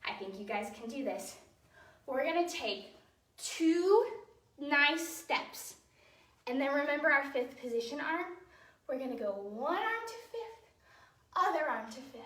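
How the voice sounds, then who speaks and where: A woman's voice: high voice, big pitch swings, unhurried, long pauses, fairly bright tone. A young woman, a ballet teacher, leads children through a dance in simple, encouraging step-by-step instructions. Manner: encouraging, childlike-simple phrasing, counting out moves. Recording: roomy echo, distant microphone.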